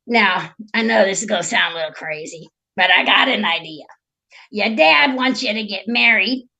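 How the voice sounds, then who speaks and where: A woman's voice: mid-range voice, animated, moderate pace, vocal fry, bright, forceful tone. A woman voicing a cocky male talking frog in a fairy tale, cajoling with brash, streetwise patter. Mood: wheedling. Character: cocky, wheedling male talking frog, sly sweet-talking bargainer. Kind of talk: live storytelling of a fairy tale.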